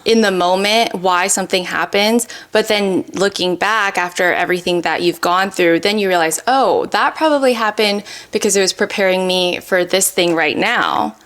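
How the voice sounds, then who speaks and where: A woman's voice: medium pitch, normal speed, hardly any pauses, fairly bright tone. A woman with a mid-range voice hosts a casual interview. She speaks conversationally, putting questions and short reflections to her guest. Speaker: podcast host, interviewer.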